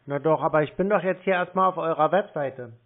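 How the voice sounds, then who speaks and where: Over a narrow, muffled phone connection comes a man with a slightly high voice. He plays the plodding customer, hesitating over each step and questioning what he is told. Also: fairly quick. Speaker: scam-baiter posing as a customer. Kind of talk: phone conversation.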